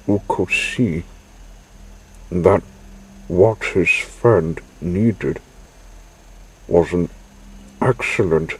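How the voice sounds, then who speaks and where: A man reading a children's story aloud in halting, broken phrases. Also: low voice, husky, low-quality recording. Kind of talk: slow read-aloud children's story narration.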